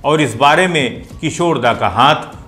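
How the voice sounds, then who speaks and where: A man's voice: a little high, expressive, a little slow, fairly bright tone, faint music behind. A man hosting a talk on playback singing, explaining with enthusiasm and admiring rhetorical asides.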